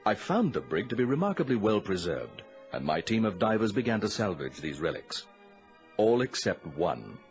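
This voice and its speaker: A salvage expert telling a sea mystery as a radio drama narrator. His lowish voice is formal and measured, yet richly varied in pitch. Faint music sits under a slightly dull recording. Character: male salvage diver recounting a sea mystery, grave storyteller. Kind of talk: scripted first-person radio drama narration.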